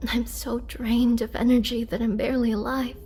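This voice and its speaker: A woman playing a weakened, imprisoned vampire in a roleplay audio drama, gentle and polite, speaking hesitantly with soft, whispery breath and a pleading earnestness. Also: a little high, level tone, moderate pace, slight background noise, natural voice. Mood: weak, pleading. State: weak, drained. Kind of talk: girlfriend roleplay audio drama, intimate acting.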